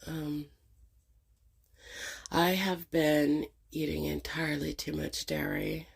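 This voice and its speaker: Slow, husky woman with a head cold. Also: low-pitched, flat delivery. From Canada. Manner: slow, hesitant, many ums and pauses. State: congested with a cold. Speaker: channel host. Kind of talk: casual reflective monologue.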